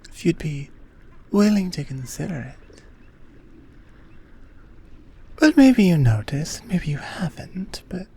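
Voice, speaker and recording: A woman acts out an Amazonian queen with a mellow, husky voice. She is gentle and earnest, speaking in soft, unhurried, half-whispered phrases as in an intimate ASMR audio drama. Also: fairly low pitch, full character voice. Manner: soft, breathy, measured, half-whispered delivery.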